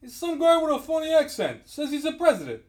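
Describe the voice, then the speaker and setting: A man puts on a comic audio-story doorman, skeptical and aggressively indifferent. He calls out loudly in a raised voice, unimpressed by anybody. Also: very high voice, wildly swooping pitch, a little fast, pitched above the performer's own voice, exaggerated voice. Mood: calling out. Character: aggressively indifferent male guard behind a steel door.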